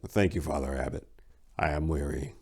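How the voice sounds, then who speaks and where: A man's voice: very deep voice, moderate pace, husky, heavily acted. A man playing a weary, hard-bitten vampire-hunting warrior monk, grim and terse, in a fantasy story.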